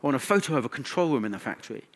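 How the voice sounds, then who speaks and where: A man's voice: mid-range voice, sing-song, very fast. A man speaking as a conference presenter, informal and conversational, with wry asides. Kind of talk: conference talk.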